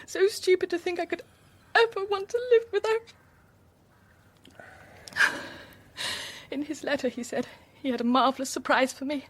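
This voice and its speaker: A woman's voice: high-pitched, sing-song, normal speed, long pauses, fairly bright tone. A woman playing a grieving, dignified widow figure in a radio drama. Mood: remorseful, grieving.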